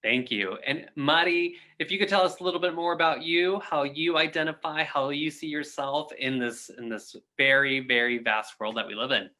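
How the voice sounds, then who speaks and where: A man's voice: on the high side, normal speed, pushed and bright. A man moderating a panel discussion on a video call. He invites each guest to speak in a friendly, encouraging way.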